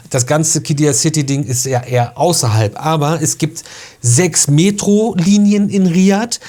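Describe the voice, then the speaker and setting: Man, young adult, vlog host, casual, slangy, chatty, slightly high voice. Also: a little mellow. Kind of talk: casual travel tips to camera.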